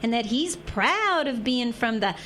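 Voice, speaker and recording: A feisty young Southern bride played by a woman with a high voice and a proud, teasing streak. She talks in folksy turns of phrase, in radio-drama style over faint background noise. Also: lively intonation, a little slow, fairly bright tone. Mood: sassy, teasing.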